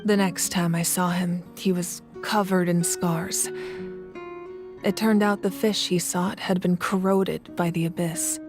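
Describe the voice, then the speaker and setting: A woman voicing an adult collector in a video game, telling old stories in a measured, reflective way. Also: fairly low pitch, fairly even intonation. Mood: calm, reflective. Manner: measured, reflective storytelling with soft laughs. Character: adult woman collector, calm and thoughtful storyteller. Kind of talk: video game cutscene dialogue. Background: game music.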